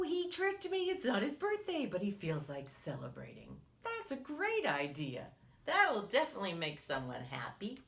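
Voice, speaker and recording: A woman leads a story time for young children, reading in an expressive, exaggerated way. Her pitch swings widely and her pace is slow and deliberate. She keeps turning to the little listeners with questions and leaves space for them to answer. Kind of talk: read-aloud picture book storytelling for young children.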